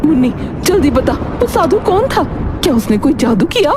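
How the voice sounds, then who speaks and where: Woman doing a shrill-pitched, excitable grandmother. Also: moderate pace, background noise. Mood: amazed, urgent. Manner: urgent, demanding questions. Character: excitable, scolding, astonished grandmother. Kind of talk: Hindi cartoon moral story acting.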